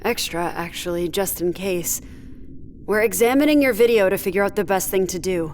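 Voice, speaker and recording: A woman playing a brisk, blunt crew leader in a sci-fi horror audio drama. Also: medium pitch, darkish tone, slight background noise, lightly acted. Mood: businesslike.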